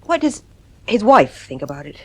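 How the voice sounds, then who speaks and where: Expressive, earnest wife and mother. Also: mid-range voice, normal speed, slightly rough. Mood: worried, earnest. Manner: insistent, earnest. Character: anxious, frustrated wife and mother. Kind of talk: radio drama acting.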